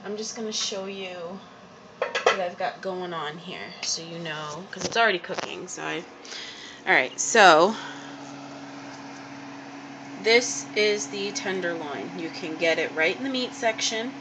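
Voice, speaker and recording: A young woman walks through her dinner at home in an easy, rambling way, full of ums and small restarts. She holds the phone close in a small kitchen. A steady hiss of background noise runs under the home video. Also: on the low side, unhurried, pauses often. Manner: chatty and unpolished, many ums and restarts. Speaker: home cook vlogger. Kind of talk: casual cooking demonstration. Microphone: handheld camera or phone held close.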